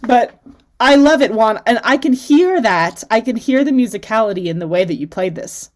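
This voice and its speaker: A woman's voice: fairly high pitch, animated, moderate pace. A woman hosting a flute masterclass over a video call, enthusiastic and encouraging, laughing often. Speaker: flute teacher and masterclass host.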